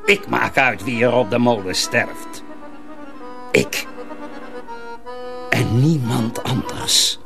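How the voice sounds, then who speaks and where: An actor playing a cruel, domineering mill master in a radio drama, commanding and threatening in a theatrical way. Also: male voice, mid-range voice, slow, gravelly, pauses often, music in the background. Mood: gloating menace.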